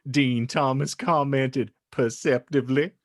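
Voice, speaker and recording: A man's voice: mid-range voice, fairly even intonation, a little slow, no echo, studio quality. A man hosting a comedy podcast and narrating, with a mock-solemn, wry, theatrical delivery. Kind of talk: comedic banter and dramatic story reading.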